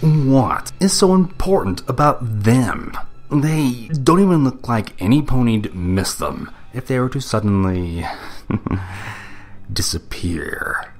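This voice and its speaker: A man voicing an eager, bloodthirsty pegasus assassin in an audio drama, with a theatrical, fawning manner and gravelly voice. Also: mid-range voice, sing-song, slow, faint music behind, full character voice. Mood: sinister curiosity. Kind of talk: audio drama character acting.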